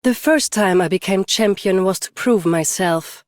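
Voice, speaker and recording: A woman's voice: mid-range voice, a little slow, a little mellow, dry recording, clean studio sound, lightly acted. A woman playing a stoic, battle-hardened champion swordswoman and bodyguard in a video game, restrained and commanding. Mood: cool, reflective. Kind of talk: scripted game character voice lines.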